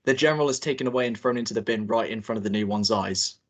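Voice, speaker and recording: A man reads the stage directions at a script read-through in a plain, steady manner. His voice sits a little low. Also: fast. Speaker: narrator reading stage directions. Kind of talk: table read narration.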